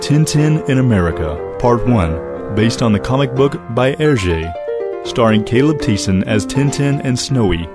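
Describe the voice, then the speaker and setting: A man's voice: mid-range voice, animated, measured pace. A man as announcer, reading a scripted introduction for an audio drama. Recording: loud music behind voice. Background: loud music.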